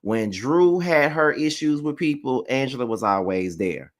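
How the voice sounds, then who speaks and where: A man in his thirties giving a casual, animated reality TV recap, slangy and full of swearing. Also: mid-range voice, unhurried. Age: 30s. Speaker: reality TV reviewer. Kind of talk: casual live recap commentary.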